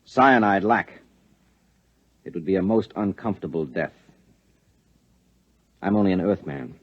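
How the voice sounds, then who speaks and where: A secretive, menacing American husband in a fifties radio thriller. His voice is low, clipped and controlled, slightly rough, on a dull old recording. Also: expressive, a little slow, heavily acted. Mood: cold menace. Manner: curt, controlled, threatening. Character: menacing, secretive husband interrogating with cold suspicion. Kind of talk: 1950s American radio drama acting. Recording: muffled vintage radio recording.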